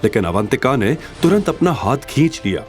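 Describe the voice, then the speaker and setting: A man's voice: medium pitch, sing-song, normal speed. A man narrating a scripted audio drama story in a dramatic style. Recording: faint music behind.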